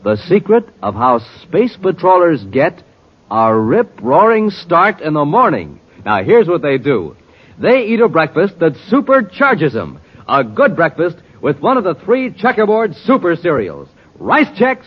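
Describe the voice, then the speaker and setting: Animated man announcing, old radio. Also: on the high side, slightly rough. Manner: punchy, dramatic. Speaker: announcer, narrator. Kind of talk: 1950s radio announcing, narration and ad pitch. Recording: dull 1950s radio recording.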